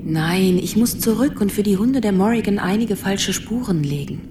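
A woman's voice: medium pitch, expressive, moderate pace, noisy background, lightly acted. An actress playing a wise, protective female donkey in a radio play, earnest and reassuring as she cautions. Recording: distant voice in loud ambient sound.

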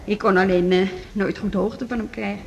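A woman's voice: mid-range voice, fairly even intonation, normal speed, lightly acted. An actress playing a friendly, curious female hospital colleague in a radio drama, casual and inquisitive. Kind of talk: 1980s Dutch radio drama acting. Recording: clear noisy ambience.

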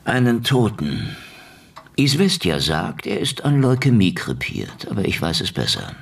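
An actor playing a world-weary, middle-aged detective in a noir radio drama, laconic, wry and brooding, with a gravelly voice. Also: male voice, lowish voice, big pitch swings, unhurried, no echo, heavily acted. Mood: terse, probing. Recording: music under the voice.

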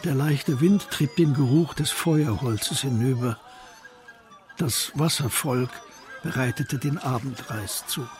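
A man narrating a scripted radio drama with a very husky, breathy voice. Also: mid-range voice, slow pace, a little mellow, faint music behind.

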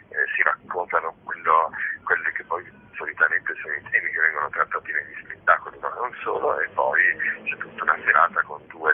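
A man being interviewed as a festival organiser, explaining things informally and discursively, often correcting himself. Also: very deep voice. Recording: muffled noisy phone line.

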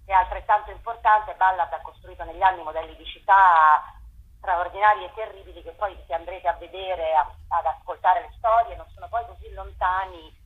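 A woman's voice: fairly low pitch, brisk, slightly rough, narrow, tinny audio. A woman curator explaining a festival program in a radio interview, thinking aloud with frequent fillers.

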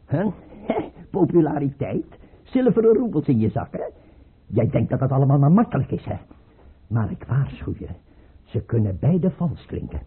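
An actor playing a paranoid, scornful tsar in a radio play, imperious and abrupt, with a husky, rough voice. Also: male voice, mid-range voice, sing-song, normal speed, heavily acted. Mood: scornful, menacing. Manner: imperious, abrupt, mocking, swinging from mutter to outburst. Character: paranoid tyrant tsar, erratic, scornful, suspicious father. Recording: muffled, tinny old radio play recording.

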